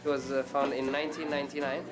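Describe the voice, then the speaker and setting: A man giving interview answers as a community representative, in halting English. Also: medium pitch, little pitch movement, low-quality recording. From Eritrea.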